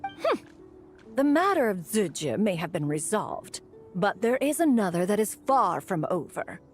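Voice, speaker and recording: A woman's voice: medium pitch, very animated, a little slow, soft background music. A woman playing a haughty, imperious immortal in a game, stately and pointed in an archaic way. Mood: pointed, menacing. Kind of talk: scripted game voice acting.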